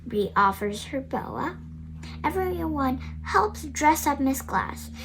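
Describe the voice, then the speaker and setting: A girl of about nine reading a picture book aloud, careful and a little halting as she goes. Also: sits high, big pitch swings, creaky, fairly bright tone, slight background noise, poor audio. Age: child, about nine. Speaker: young reader of a picture book. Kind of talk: storybook read-aloud narration.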